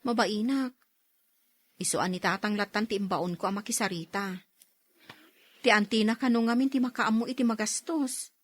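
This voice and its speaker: A woman's voice: medium pitch, normal speed, long pauses, natural voice. A woman playing a modest, frank working woman in her thirties in a radio drama, with an Ilocano accent. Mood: bashful. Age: 30s. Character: modest, hardworking 31-year-old woman, bashful but frank.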